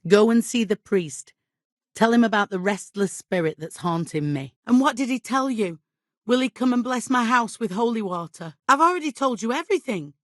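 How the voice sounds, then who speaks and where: An actress playing a middle-aged medieval village widow in a video game, devout and guilt-ridden, with an exasperated, imperious and plaintive manner. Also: female voice, a little high, expressive, normal speed, no room sound, studio quality, natural voice. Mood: exasperated, indignant. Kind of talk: dramatic video game dialogue acting.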